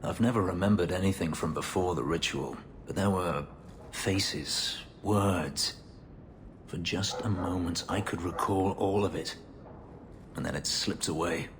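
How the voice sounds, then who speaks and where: An actor playing a haunted, guarded former slave, an elf warrior who speaks in a measured, formal, restrained way, in video game dialogue. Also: male voice, sits low, slight background noise. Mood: anguished.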